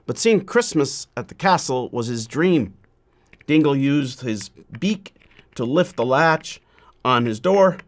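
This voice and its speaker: A man reading a children's story aloud in a measured, deliberate way, pausing often between phrases. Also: fairly high pitch.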